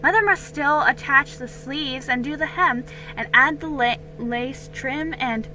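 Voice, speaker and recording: A woman's voice: high-pitched, unhurried, pushed and bright, soft background music, poor audio. A woman voicing an eager, impatient young girl from colonial times, pleading and pressing, in a dramatic storybook reading. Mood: anxious.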